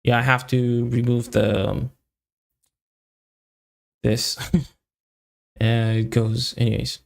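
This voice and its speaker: A man on a casual coding livestream, thinking aloud in halting, muttered fragments as he works. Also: medium pitch, flat delivery, vocal fry. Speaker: developer live-streaming coding.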